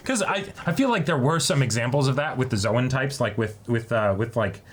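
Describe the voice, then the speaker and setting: A man's voice: medium pitch, expressive, brisk. Man chatting casually, rambling fan talk. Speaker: co-host of an anime fan channel.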